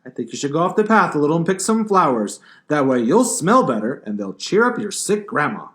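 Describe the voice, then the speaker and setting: A man's voice: fairly high pitch, big pitch swings, fairly quick, a bit bright. A man voicing a sly, greedy big bad wolf in a puppet show.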